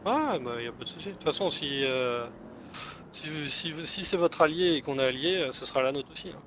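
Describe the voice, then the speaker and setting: A man's voice: on the high side, lively intonation, a little fast, a crowd in the background, close to an everyday voice. A man playing a cooperative, respectful gang leader in an online roleplay game, talking casually and hesitantly in a conciliatory way. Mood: agreeable. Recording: dull lo-fi game voice chat.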